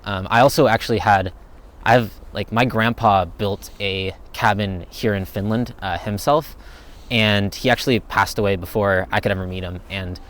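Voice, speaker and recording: Laid-back low-voiced young man. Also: steady tone, normal speed, a bit bright. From United States. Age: young adult. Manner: laid-back, rambling, thinks aloud. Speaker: vlogger answering viewer questions. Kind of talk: casual Q&A chat.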